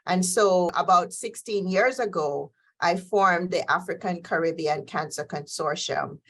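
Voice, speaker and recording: Measured woman, low pitch. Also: fairly bright tone. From Jamaica. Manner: measured, with frequent um and uh fillers. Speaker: guest scientist. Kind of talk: interview answers.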